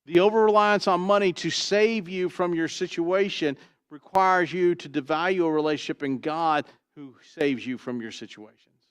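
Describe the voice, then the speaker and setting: A pastor with a booming, trained voice preaching an expository sermon, swinging from loud emphasis to quiet asides and asking "Why?" before he answers. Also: moderate pace, clean tone, no room sound. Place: church sanctuary.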